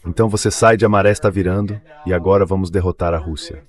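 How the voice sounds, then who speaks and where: A man's voice: low-pitched, steady tone, fast. A synthetic male voice, dubbing a political commentator in discussion.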